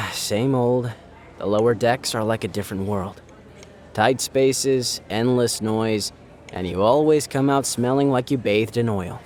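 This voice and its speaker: An actor playing a wistful rig maintenance worker in an audio drama, speaking in a reflective, subdued way. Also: male voice, medium pitch, unhurried, a crowd in the background, close to an everyday voice. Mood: weary, affectionate. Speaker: narrator and lead character. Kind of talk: audio drama narration and dialogue. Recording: music under the voice.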